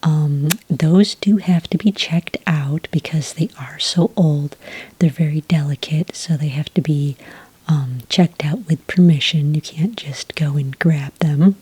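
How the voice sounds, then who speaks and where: A friendly enrollment witch, played by a woman, whispers her explanations in a deep, breathy hush. Her tone is mellow and the sound is dry and close, with chatty little pauses and ums. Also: no echo, full character voice, poor audio. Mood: whispering, helpful. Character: friendly adult female enrollment witch clerk. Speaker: ASMR roleplay host. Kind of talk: ASMR roleplay.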